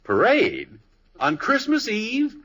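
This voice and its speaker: A man's voice: high voice, wildly swooping pitch, slow pace, fairly bright tone, heavily acted. An American actor playing an exasperated, indignant middle-aged father in a 1950s radio sitcom, blustery, sarcastic and emphatic.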